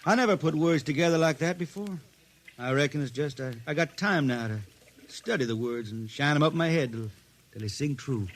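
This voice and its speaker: A man's voice: on the high side, lightly acted. An actor playing a wistful, folksy spaceman poet from the hills with a Southern country accent, in a vintage radio drama. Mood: homesick. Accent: Southern US hill country. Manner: folksy, halting, dreamy. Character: wistful blind spaceman poet, Ozark country-bred drifter. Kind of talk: old-time radio drama acting.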